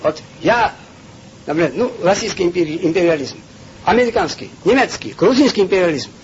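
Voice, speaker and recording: Guy preaching, high voice that swings all over, lots of uh's, muffled lo-fi audio with some hiss. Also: lively intonation, brisk. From Georgia. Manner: emphatic, with frequent hesitations and rhetorical questions. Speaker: spiritual lecturer. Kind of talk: impassioned religious lecture. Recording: dull lo-fi recording with faint background noise.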